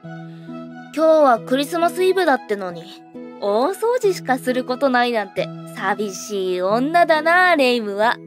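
A woman's voice: sits high, sing-song, a little slow, soft background music. A woman playing a mischievous tomboyish young witch in an anime-style fan voice drama, brash and boyish in her speech. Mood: teasing, grumbling.

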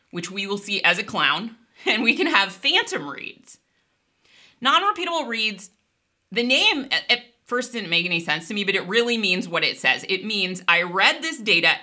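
Bright, sharp-voiced woman instructor. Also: mid-range voice. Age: middle-aged. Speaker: instructor presenting an online database course. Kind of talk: conversational technical lecture with slides.

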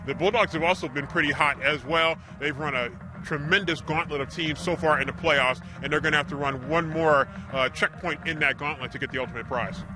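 A man's voice: on the high side, brisk, poor audio. A middle-aged man giving conversational football analysis as a co-commentator, speaking outdoors into a shared handheld microphone. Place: outdoors in an open football stadium.

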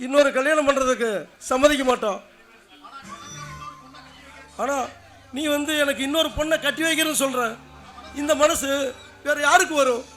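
A man's voice: very high voice, bright, forceful tone. A man playing a tender, devoted husband, speaking earnestly and coaxingly in a melodrama. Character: tender, devoted husband consoling his wife. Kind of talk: melodramatic Tamil drama acting.

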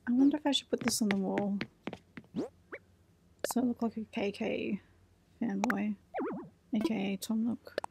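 A woman's voice: mid-range voice, steady tone, moderate pace, vocal fry, long pauses, noisy background. A woman in her forties chatting casually and self-deprecatingly while she plays a game, thinking aloud. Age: 40s. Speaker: let's play host. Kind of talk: casual gameplay commentary.

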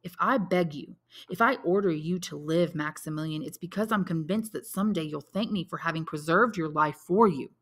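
A woman reading aloud and voicing a solemn, commanding male count who speaks in a measured, persuasive way. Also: low-pitched.

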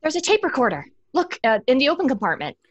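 Worried bossy young sister, high lively voice, dry close sound, horror audio drama. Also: female voice, fast talker, slightly rough, no room sound, highs cut off, exaggerated voice. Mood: tense. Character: anxious, scolding young daughter, the more level-headed sister. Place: home, on a video call.

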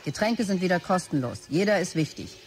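An actor as a brisk, demanding woman executive. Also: lowish voice, expressive, soft background music, natural voice. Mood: stern. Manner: clipped, businesslike, commanding. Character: brisk, demanding chief operating officer dictating messages. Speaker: actor in an audio drama. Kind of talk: radio play dialogue, dictated office messages.

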